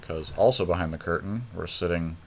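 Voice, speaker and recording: A man's voice: deep voice, fairly quick, a little mellow. A man talking casually and thinking aloud as he commentates on a card game draft in colloquial speech. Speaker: podcast host, card game player.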